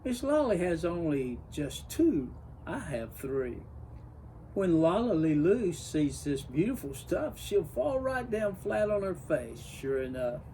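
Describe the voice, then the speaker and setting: A man voicing a jealous, vain young female bird from a storybook, excitable and whiny in exclaimed rhymes. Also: fairly high pitch, slow pace, a little hiss or hum, full character voice, low-quality recording. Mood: gleeful boasting. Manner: exclaiming, boastful, whiny rhyming speech. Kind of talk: storybook character voice.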